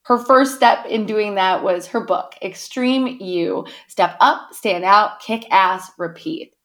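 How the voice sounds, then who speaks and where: A woman's voice: mid-range voice, slow. Chatty, upbeat woman hosting a podcast. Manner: upbeat, chatty, many "sort of" fillers. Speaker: podcast host. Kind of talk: podcast intro and interview. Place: my town.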